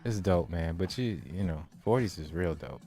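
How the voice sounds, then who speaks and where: A man's voice: low voice, expressive, normal speed. A man in his forties, a guest hip hop artist, chatting in an easygoing, agreeable way. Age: 40s. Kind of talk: casual interview chat. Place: radio studio.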